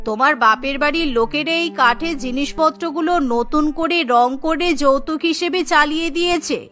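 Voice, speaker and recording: An angry older mother-in-law from a cartoon, acted out by a woman, scornful and domineering. The voice is high and sharp, scolding with indignation, with light music underneath. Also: a little fast.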